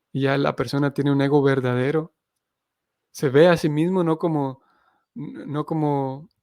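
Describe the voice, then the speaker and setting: A man's voice: a little high, vocal fry, no room sound, studio quality. A man giving a spiritual lecture, reading scripture and explaining it in a reflective way. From Honduras. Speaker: Hare Krishna missionary teacher.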